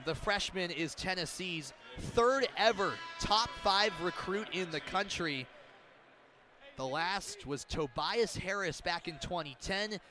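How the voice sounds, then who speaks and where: High-pitched man calling live basketball. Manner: excitable, building to loud calls on big plays. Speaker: play-by-play radio announcer. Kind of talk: live basketball radio commentary. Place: courtside in a large indoor arena. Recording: crowd noise, bouncing ball in arena. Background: arena ambience, basketball bounces.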